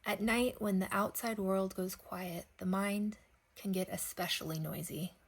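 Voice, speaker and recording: Very slow-speaking woman guiding sleep meditation. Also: mid-range voice, fairly even intonation, slightly rough. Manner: gentle, unhurried phrasing with long pauses. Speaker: meditation guide.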